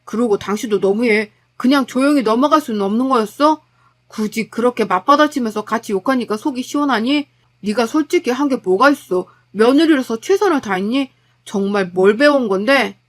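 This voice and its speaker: A woman's voice: a little high, brisk, close to an everyday voice. A woman voicing an exasperated, blunt husband caught in a family quarrel. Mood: reproachful. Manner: blunt, irritated. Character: exasperated young married man, blunt.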